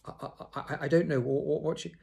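Nervous stammering teacher, higher than his own voice, read by a man. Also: steady tone, creaky, a little mellow, breathy edge, exaggerated voice. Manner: stammering, halting. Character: nervous stuttering male teacher.

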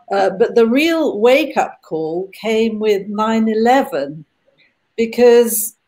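Older woman, panelist, very slow and deliberate delivery on a video call. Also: medium pitch, darkish tone. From Britain. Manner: measured and deliberate. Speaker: organization founder, panelist.

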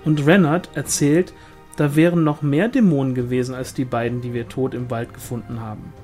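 A man's voice: medium pitch, steady tone, a little fast, darkish tone, faint music behind, lightly acted. A man voicing a gossipy, insistent village woman in an audiobook story, eager and chatty. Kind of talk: audiobook character dialogue.

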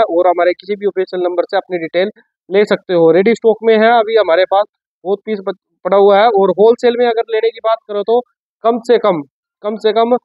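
A man, giving a casual unboxing and sales pitch as a shop presenter, explaining informally and repeating himself. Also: no echo, dull audio. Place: shop office with sofa, indoors.

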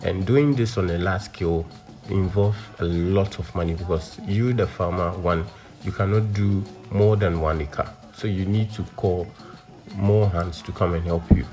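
A man's voice: sits low, normal speed, darkish tone, faint music behind. A man explaining farm work in an instructional voice-over, with frequent ums and okays. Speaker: farming channel presenter.

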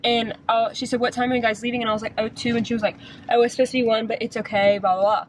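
A woman in her twenties, chatting casually into a handheld camera as she vlogs from a car and a hotel room, telling stories with quick asides. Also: a little high, flat delivery, slight background noise, rough recording. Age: 20s. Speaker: vlogger. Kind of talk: casual vlog chat. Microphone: handheld camera at arm's length.

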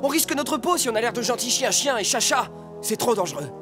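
Woman voicing a male fox schemer, animated, slightly high, persuasive, quick-witted, kids' cartoon. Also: over music, lightly acted. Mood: urgent. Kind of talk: cartoon voice acting.

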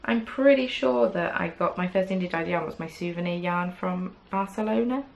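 A woman's voice: fairly low pitch, moderate pace, gravelly. A young woman hosting a knitting podcast, chatting casually to camera from a small craft room, rambling with lots of "um"s and playful asides. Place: small indoor craft room with yarn shelves. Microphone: camera at desk distance.

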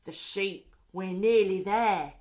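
A woman voicing bumbling, confident male burglars in a children's story, in a drawn-out, deliberate way. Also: medium pitch, a little mellow, close to an everyday voice. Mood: confident, sneaky.

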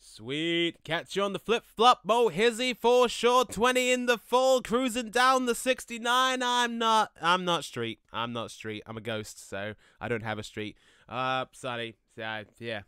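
A man's voice: sits high, measured pace, pushed and bright, clear, resonant tone, dry recording, clean studio sound. A man acting in an audio play as a jokey, laid-back ghost with a casual, slangy, rambling way of talking. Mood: breezy, goofy. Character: chatty, jokey young male ghost, laid-back slacker.

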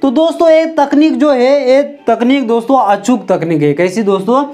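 A man's voice: very high-pitched, moderate pace, mellow. A young man teaching at a whiteboard, speaking on a lapel mic and addressing his audience again and again, asking questions and answering them himself. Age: young adult. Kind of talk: whiteboard lesson. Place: indoor room in front of a whiteboard.

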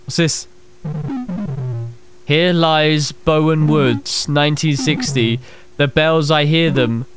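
A young man plays a horror game, reading its text aloud and reacting with quick exclamations and asides to viewers. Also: a little high, steady tone. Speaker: gaming streamer. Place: small room at a desk. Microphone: headset. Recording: game music playing under the voice.

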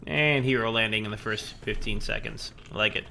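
A man's voice: on the low side, a little fast, gravelly, background noise. A man streaming a game, losing his voice after the flu, chatting casually and poking fun at himself. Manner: chatty, self-mocking. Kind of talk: casual gameplay commentary.

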